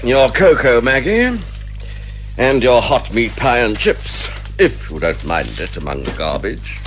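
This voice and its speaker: An actor playing a fussy, sceptical sidekick in a radio detective comedy, questioning and incredulous. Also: male voice, mid-range voice, very animated, unhurried, faint music behind. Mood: flustered, grumbling. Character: fussy, sceptical male sidekick, easily flustered. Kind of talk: 1980s radio comedy detective drama acting.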